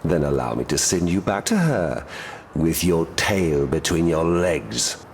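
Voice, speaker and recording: A smug trickster god for a game, super swoopy delivery, mocking and grand. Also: male voice, rumbling low, big pitch swings, a little slow, gravelly, slight background noise, heavily acted. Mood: indignant. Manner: pompous, theatrical, mocking. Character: scheming, arrogant trickster god-king, half frost giant. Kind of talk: video game voice acting.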